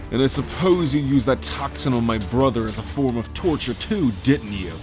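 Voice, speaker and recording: A man's voice: mid-range voice, animated, normal speed, over music, natural voice. A man playing a proud, fierce and protective dragon king in a fantasy audio drama, cocky and menacing. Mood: cold, cocky.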